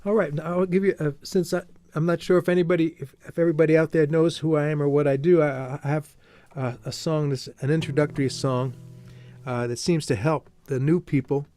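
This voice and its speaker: An American man from New Jersey, a folk singer-songwriter, introducing songs casually in a halting, self-effacing, understated way. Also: fairly high pitch, fairly even intonation, warm tone. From New Jersey, United States. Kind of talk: casual song introductions between live songs. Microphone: stage microphone. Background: acoustic guitar.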